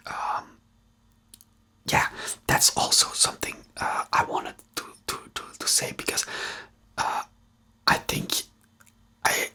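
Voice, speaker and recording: A person speaking in a breathy whisper, giving unscripted ASMR commentary in a hesitant, rambling way with frequent restarts. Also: sharp tone. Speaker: ASMR host reviewing a TV series.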